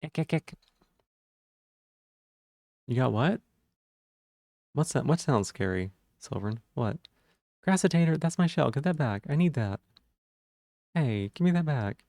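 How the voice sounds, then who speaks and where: A man with a gravelly voice and a sore throat, rambling casually to viewers on a livestream while checking things aloud. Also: mid-range voice, expressive, measured pace, long pauses, warm tone, no room sound, studio quality.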